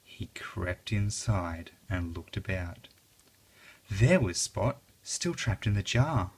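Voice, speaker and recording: A reader narrating a children's story aloud in an expressive, dramatic way. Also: sits low, slow pace, breathy edge.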